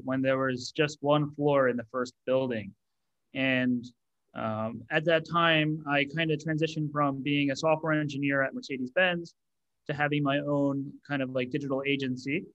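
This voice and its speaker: An American man in his thirties, a startup CEO answering interview questions in a relaxed way with many fillers. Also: on the high side, highs cut off. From United States. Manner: relaxed, many ums and you-knows.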